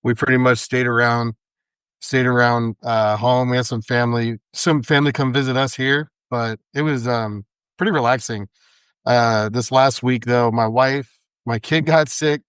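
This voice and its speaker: A man co-hosting a casual podcast, easygoing and agreeable, his voice raspy and congested from a recent cold. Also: medium pitch, fairly even intonation, normal speed, a bit bright, no room sound. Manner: agreeable, easygoing, filler-heavy. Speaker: podcast co-host.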